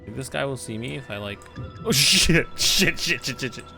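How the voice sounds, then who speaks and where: Game streamer, androgynous voice, lively pitch, gravelly edge, casual self-muttering commentary, faint music behind. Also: normal speed. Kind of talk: casual playthrough commentary, reacting aloud.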